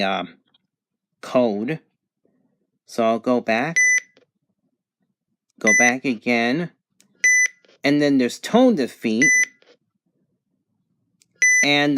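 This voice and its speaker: A person giving a casual product review and demonstration in a halting, hesitant way. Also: medium pitch. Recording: clear background noise, amateur home recording.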